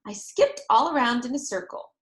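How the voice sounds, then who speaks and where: A woman narrating a children's chapter book aloud in an expressive read-aloud style. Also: on the high side, normal speed, roomy sound.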